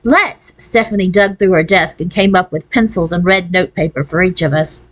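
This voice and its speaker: A woman's voice: fairly low pitch, fairly even intonation, a little fast. Woman reading a children's novel aloud. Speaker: storybook reader. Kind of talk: scripted narration, children's novel read aloud in first person.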